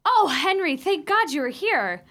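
A woman's voice: high voice, very animated, a little slow, background noise. A woman acting an anxious, easily alarmed sister in a radio play, full of urgent questions. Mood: frightened reasoning.

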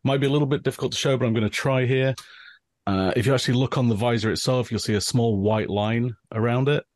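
A middle-aged man with an English accent, a guest calmly explaining gear with frequent fillers. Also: medium pitch, fast talker, dry recording, studio quality. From northern England. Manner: relaxed, explanatory, with many fillers. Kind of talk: casual explaining.

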